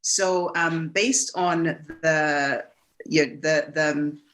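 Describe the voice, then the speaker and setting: A woman's voice: deep voice, steady tone, drawn-out words, slight background noise. A woman from Kenya, giving a reflective personal talk as a guest speaker, often restarting her sentences. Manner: warm, reflective, with frequent restarts and fillers.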